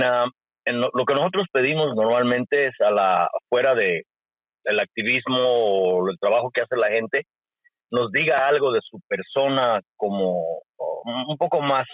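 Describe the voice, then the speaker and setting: Halting male interviewer, dull sound. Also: medium pitch, dry recording. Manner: halting, many restarts and fillers. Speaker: interviewer, host. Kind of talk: conversational interview questions. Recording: dull lo-fi call audio.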